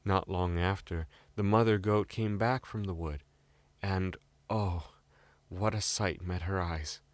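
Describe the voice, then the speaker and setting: Low-voiced British man telling a fairy tale. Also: steady tone, slow, dry recording. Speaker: storyteller. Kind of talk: scripted fairy-tale narration.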